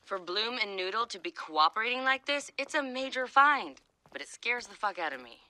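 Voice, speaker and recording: A woman's voice: fairly high pitch, very animated, normal speed, pushed and bright. A woman playing a no-nonsense hacker in a video game, serious, blunt and resolute. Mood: wary. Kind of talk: video game cutscene acting.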